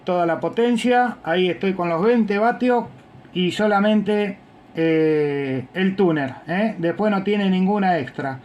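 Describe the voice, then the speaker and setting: A man's voice: high voice, lo-fi sound. A man with a Buenos Aires accent chatting casually as an amateur radio operator, using radio jargon and call signs. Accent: Buenos Aires Spanish. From Argentina. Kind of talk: casual radio contact while testing equipment.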